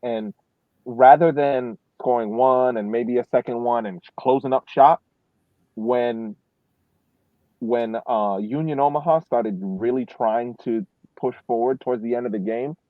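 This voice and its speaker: A man's voice: medium pitch, measured pace, dry recording. A man giving casual sports analysis as a podcast panelist, making his points emphatically and restating them as he goes. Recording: muffled, narrow phone-like sound, dry.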